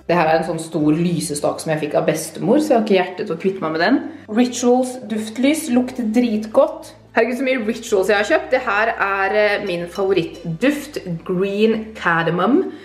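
A young woman from Norway chatting upbeat to her viewers while showing around her home on a handheld camera. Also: mid-range voice. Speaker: vlogger. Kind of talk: casual house tour vlog. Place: bright modern home, indoors. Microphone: handheld camera at arm's length.

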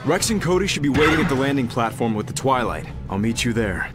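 Guy playing wry young hero knight in a game, animated voice, loud music over it. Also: mid-range voice, quick pace, close to an everyday voice. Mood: determined. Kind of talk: animated video game acting.

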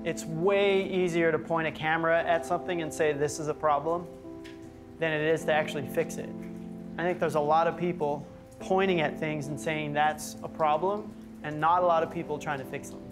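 A man in his thirties hosting a documentary, talking thoughtfully and casually, partly on location outdoors. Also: on the high side. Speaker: host. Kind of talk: documentary presenting and voice-over. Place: outdoors and inside an abandoned factory.

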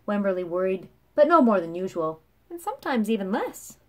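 Expressive woman reading a children's story. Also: mid-range voice, a little fast. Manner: expressive, dramatic phrasing for children. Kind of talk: read-aloud picture book storytelling. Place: small room at home, indoors.

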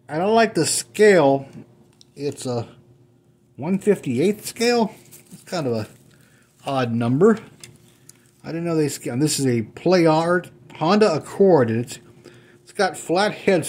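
A man's voice: a little high, a little mellow. A middle-aged man chatting casually as he unboxes old toy cars at a table at home, thinking aloud as he handles each one. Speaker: toy car collector unboxing.

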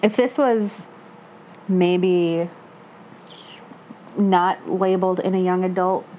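A woman's voice: lowish voice, steady tone, slow, dark tone, rough recording. A woman in her thirties chatting casually in a book discussion, informal and given to repeating herself for emphasis. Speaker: book club co-host. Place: living room couch, indoors. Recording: clear background room noise.

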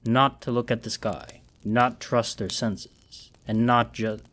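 A man's voice: medium pitch, slow pace. A man doing a glitching robotic phone message. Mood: mechanical. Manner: flat, mechanical.

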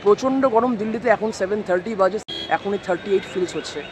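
A man's voice: sits high, background noise. A young man with a Bengali accent, vlogging on a trip and talking to a phone held at arm's length. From West Bengal. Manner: brisk, mixes English words into Bengali. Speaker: travel vlogger. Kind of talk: on-camera travel vlog. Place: train stations and a bus terminal, indoors and out. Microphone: handheld phone at arm's length.

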